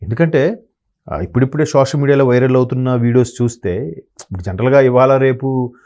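A man with a mellow, warm tone gives conversational commentary as an analyst guest. He speaks, hesitating often with fillers and pausing to hunt for the right word. His pitch sits in the middle range. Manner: hesitant, many fillers and word-searching pauses.

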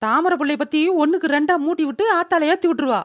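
A woman voicing a sassy, sharp-tongued young friend in a cartoon. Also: sits high, lively intonation, fast talker, highs cut off. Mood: gossipy indignation. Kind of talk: cartoon voice-over dialogue.

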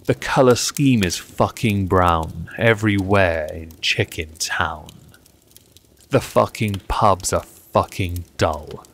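A man reciting poetry in measured, deliberate short phrases, as the reader on his own channel. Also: deep voice, a bit bright, a little hiss or hum.

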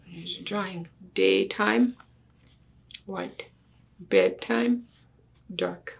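An older woman reading a picture book aloud to children in a deliberate manner, putting questions to her listeners. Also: mid-range voice, vocal fry, slight background noise. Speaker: story time reader. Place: small indoor room with books and wall quilt.

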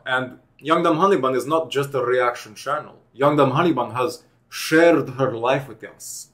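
A young man hosting a casual reaction video from home, speaking with sarcastic, deadpan mock-formal delivery into a headset mic. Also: medium pitch. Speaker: host. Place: home room with bookshelves.

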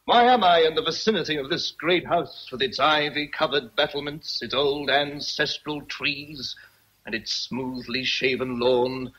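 An actor playing a sardonic, commanding master of a great house, theatrical in a radio drama. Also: male voice, a little high, a little slow, sharp tone, husky, full character voice, rough recording. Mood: mocking.

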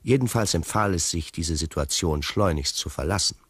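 A fastidious tiger narrating a radio play. The voice is low and husky, recorded dry. He is measured, formal and quietly ironic. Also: animated, no room sound. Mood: wry, composed. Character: wry, fastidious male tiger recounting his escape.

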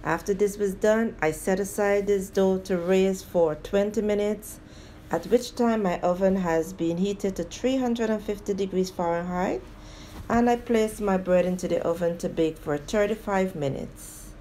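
A woman hosting a home cooking video, calmly narrating each step of a recipe and speaking to viewers as guys. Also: medium pitch, a little slow, slight background noise. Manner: plain, steady instructional tone addressing viewers as guys. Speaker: home cooking show host.

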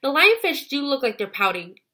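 A woman's voice: high-pitched, sing-song, normal speed, sharp tone, pitched above the performer's own voice, full character voice. A woman voicing a shy, earnest young schoolgirl in a children's storybook, speaking politely. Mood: thoughtful.

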